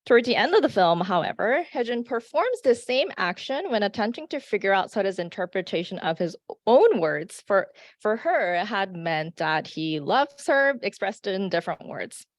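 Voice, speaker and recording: A woman's voice: mid-range voice, very animated, dry recording. Woman reading an academic paper. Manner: measured, with frequent "uh" fillers and restarts. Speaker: conference presenter. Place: conference session.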